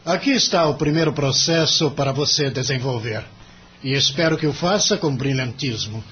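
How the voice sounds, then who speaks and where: A man's voice: medium pitch, normal speed, a bit bright, muffled recording, soft background music, natural voice. An actor playing a stern, impatient senior lawyer in an audio drama, formal, curt and condescending with his junior. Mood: businesslike. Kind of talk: radio drama acting.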